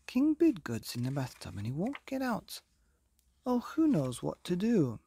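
Man putting on a frantic, high-pitched young page. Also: wildly swooping pitch, measured pace, vocal fry, warm tone, breathy edge, heavily acted. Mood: panicked, pleading. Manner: panicked, pleading. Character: frantic young male royal page crying for help.

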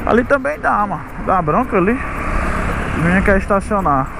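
A man's voice: sits high, lively intonation, moderate pace, creaky. A young man, chatting casually to his viewers while riding a motorcycle at night, recorded on a helmet camera. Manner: chatty, friendly, calls viewers family. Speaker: motovlogger host. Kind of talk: casual motorcycle vlog narration. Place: riding a motorcycle on a city beachfront road at night. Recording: traffic and wind noise outdoors.

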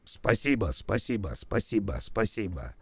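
A man's voice: on the low side, sing-song, unhurried, breathy edge, no room sound. A man with a cold streaming live, berating his chat and the ads with crude swearing, then mumbling his thanks and goodbyes. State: has a cold.

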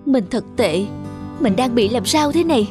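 A woman voicing a troubled young wife and mother in a cartoon, soft-spoken and earnest. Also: a little high, animated, moderate pace, soft background music, natural voice. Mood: remorseful.

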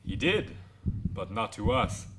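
Low, lively, knowing police detective. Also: male voice, takes it slowly, exaggerated voice. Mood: smooth, insinuating. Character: smug, polite police detective probing a suspect. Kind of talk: radio drama acting. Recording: clearly noisy radio drama recording.

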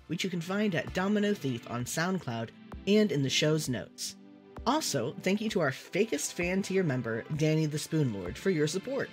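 A podcast host reading a scripted outro of thanks and links. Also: medium pitch. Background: faint music.

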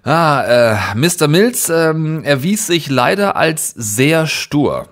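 Halting, anxious office employee. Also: male voice, on the high side, expressive, a bit bright, full character voice. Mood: nervous, deferential. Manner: halting, nervous. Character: anxious, deferential male company employee. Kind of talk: German audio drama acting.

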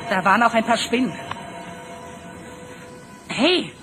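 A woman's voice: fairly high pitch, big pitch swings, slow pace, music in the background. Gabe, a curious eager boy. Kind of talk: German radio play dialogue.

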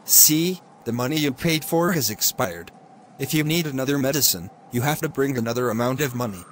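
A man's voice: mid-range voice, lively intonation, a little fast, slight background noise, close to an everyday voice. A man playing a greedy, menacing witch doctor. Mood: demanding. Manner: scolding, menacing. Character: greedy threatening male native doctor, adult.

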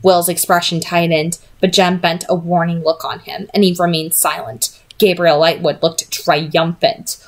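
A woman's voice: lowish voice, little pitch movement, measured pace, few pauses. A young adult woman reading a fantasy novel aloud as an amateur narrator. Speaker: amateur book reader. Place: small home room, indoors.

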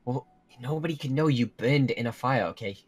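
A man's voice: mid-range voice, fairly quick, slightly rough, no echo, close to an everyday voice. A man playing a worried, protective young werewolf in an improvised Minecraft roleplay, talking in a hesitant, explaining way. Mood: serious. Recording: music under the voice.

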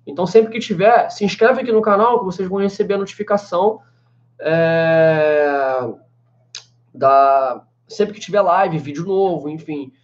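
Young man, high-pitched voice, chatty live-stream teacher, muffled webcam sound, small room. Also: unhurried. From Brazil. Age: 20s. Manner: chatty, many fillers like "né" and "cara". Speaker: language teacher answering live chat. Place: small room indoors with a banner behind. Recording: slightly dull lo-fi webcam sound.

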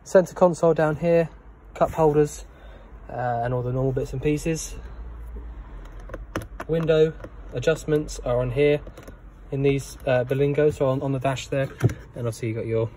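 Man, relaxed phone walkthrough of a van, steady noise behind, mid pitch. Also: moderate pace, pauses often. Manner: relaxed, many ums, explains plainly. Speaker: camper van handover guide. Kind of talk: casual walkthrough, filmed on phone. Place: in and around a parked van, workshop. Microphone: handheld phone, one-handed filming.

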